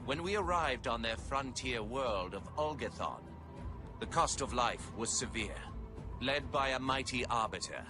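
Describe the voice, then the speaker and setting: A man's voice: medium pitch, animated, measured pace, lightly acted. An actor playing a proud, solemn alien prophet, narrating his empire's history in a stately, formal game-cutscene manner. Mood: solemn, imperious. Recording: loud music under the voice. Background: loud cinematic music.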